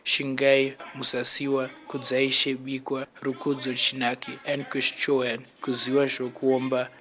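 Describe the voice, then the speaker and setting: A man's voice: medium pitch, background noise. A man reading a scripted news report. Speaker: newsreel reporter.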